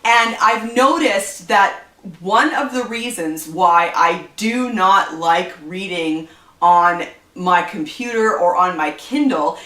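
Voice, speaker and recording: A woman's voice: medium pitch, fairly bright tone, far from the mic. Woman, stuffy allergy voice, chatty rambling vlog talk, echoey room, set back from the mic. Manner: chatty, rambling, frequent fillers. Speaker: book vlogger. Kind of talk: casual chat to camera.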